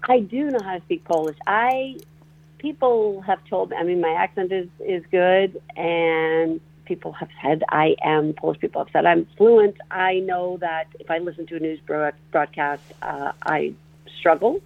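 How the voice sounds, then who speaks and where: A composer and performer from northeastern Pennsylvania, answering interview questions on the radio, talking in a halting way with many restarts. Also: mid-range voice, creaky. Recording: tinny phone line.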